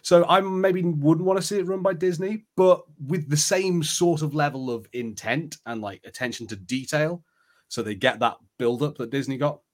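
A man's voice: on the high side, moderate pace. Casual man chatting on a podcast. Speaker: podcast co-host. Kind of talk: casual chat.

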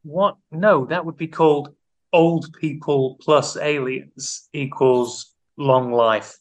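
A man's voice: on the high side, steady tone, slow. A man hosting a comedy podcast quiz, teasing his co-host in casual banter. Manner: playful, teasing quizmaster.

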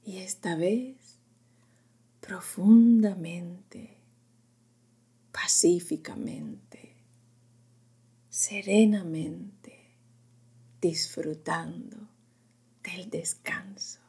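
A woman narrating a guided sleep meditation in a gentle, unhurried, whispery voice, in short phrases with long pauses. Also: fairly low pitch. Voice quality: breathy, whispery, often dropping into whisper.

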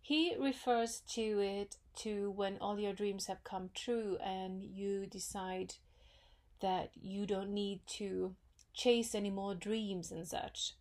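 A woman with a Norwegian accent hosting her own podcast, talking in a thoughtful, unhurried way with many pauses and fillers. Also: medium pitch, fairly even intonation, darkish tone. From Norway. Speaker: podcast host. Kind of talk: solo reflective podcast talk.

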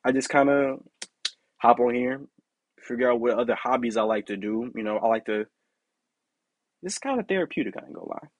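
A young man with a deep, raspy voice hosting his own podcast, talking in a candid, rambling way with lots of "you know" and "like". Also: fairly quick, pauses often, dry recording. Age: young adult. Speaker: podcast host. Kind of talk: casual solo podcast monologue.

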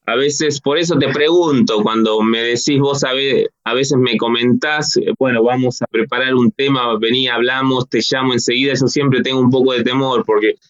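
A man's voice: mid-range voice, steady tone, normal speed, few pauses, no room sound. Rambling Argentine man on a dull line. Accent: Argentine Spanish. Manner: rambling, many restarts and fillers. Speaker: guest. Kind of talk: casual interview.

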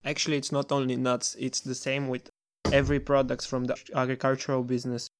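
A man's voice: medium pitch, monotone, fairly quick, background noise. A man, a startup co-founder answering interview questions in a plain, matter-of-fact way. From Bulgaria.

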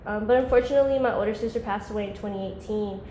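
A woman's voice: mid-range voice, racing, warm tone, echoey room, a little hiss or hum, low-quality recording. A woman in her twenties, a podcast guest, talking in a laid-back, rambling way with lots of "like". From Georgia, US. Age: 20s. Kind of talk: casual podcast chat.

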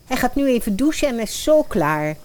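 A woman's voice: on the high side, big pitch swings, moderate pace, darkish tone. A woman playing a chic, jealous, scheming stepmother in a modern fairy-tale radio play. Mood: brisk.

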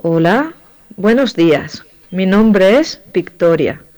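A woman from Spain introducing herself slowly and carefully for learners. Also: mid-range voice, expressive, a little mellow, rough recording. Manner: slow, careful self-introduction.

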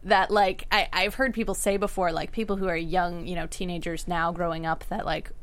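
A woman's voice: fairly low pitch, flat delivery, a little fast, a bit bright. A woman in a casual panel discussion, speaking as a panelist.

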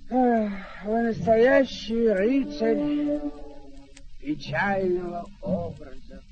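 A man's voice: sits high, expressive, very slow, heavily acted. A man playing an earthy, loyal but fed-up peasant squire in a classic radio play, grumbling plaintively. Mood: weary, pitying. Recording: dull old radio recording, faint music.

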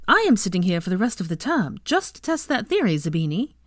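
A woman's voice: medium pitch, big pitch swings, fast talker, no echo, clean studio sound. A woman voicing a brash, fiery teenage girl with a blunt, emphatic manner in an audiobook. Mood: teasing, brash. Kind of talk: audiobook character voice.

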